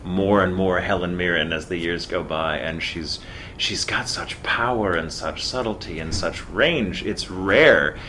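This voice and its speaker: Male voice actor, very low voice, mid-Atlantic theater accent, articulate and measured, faint background hiss. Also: pushed and bright. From Texas. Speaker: voice actor guest. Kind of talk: casual interview.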